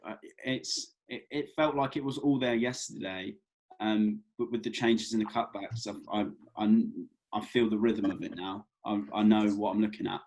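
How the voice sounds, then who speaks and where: A man, an actor, giving casual, halting feedback after a reading, with stammered restarts. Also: on the low side, steady tone, darkish tone, poor audio. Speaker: actor at a read-through.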